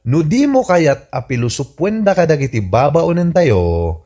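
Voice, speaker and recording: Teasing husband with animated delivery. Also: male voice, on the high side, measured pace, background noise. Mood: mocking, teasing. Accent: Ilocano Filipino. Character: teasing, exasperated husband who needles his bossy wife. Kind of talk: Ilocano radio comedy-drama acting. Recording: music under the voice.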